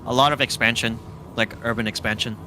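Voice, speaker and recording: A man's voice: mid-range voice, steady tone, fast talker, sharp tone. A young man chatting outdoors, giving short, reluctant answers. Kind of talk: casual chat. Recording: noisy outdoor street recording.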